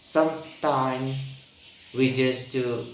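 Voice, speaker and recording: A man with an Indonesian accent, speaking English as a second language, talking slowly and haltingly, searching for words with many pauses and ums. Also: medium pitch, steady tone, slight background noise. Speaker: vlogger practicing spoken English. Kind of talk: reflective solo monologue. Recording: roomy echo, mic at a distance.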